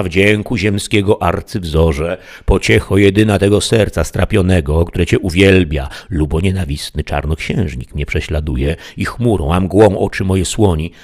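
A gloomy knight laments in lofty, formal speech, as a male narrator voices him. His voice sits very deep with a husky grain. He is a solemn hero of a storybook. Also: darkish tone. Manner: grandiloquent, mournful.

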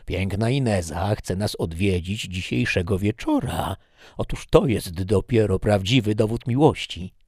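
A man's voice: lowish voice, lively intonation, unhurried, darkish tone, slightly rough, dry recording, studio quality, full character voice. A man voicing an eager, boastful male busybody who takes charge of everything. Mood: excited.

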